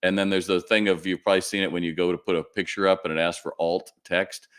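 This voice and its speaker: A man speaks in a deep, lightly raspy voice. He sounds like the host of a live question-and-answer stream, casual and conversational. His delivery is plain-spoken and aimed straight at the listeners as he gives practical advice. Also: little pitch movement, fast, a bit bright. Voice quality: slightly raspy. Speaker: live Q&A host and retail business advisor.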